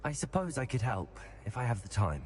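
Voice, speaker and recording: A man's voice: medium pitch, sing-song, fairly quick, soft background music, lightly acted. A man voicing a polite, earnest young wizard student in a video game. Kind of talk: scripted video game dialogue.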